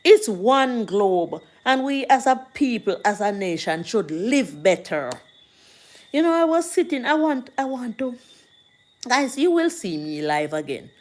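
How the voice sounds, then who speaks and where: Slow-talking Jamaican woman on a livestream. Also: a little high, sing-song. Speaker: livestream host reading viewer comments. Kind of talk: casual livestream chat.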